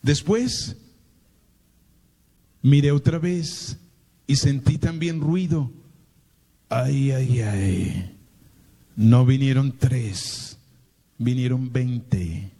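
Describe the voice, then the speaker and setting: A Colombian priest with a paisa accent from Medellín, preaching a sermon through a slow, dramatic personal story full of rhetorical questions. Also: male voice, mid-range voice, dark tone.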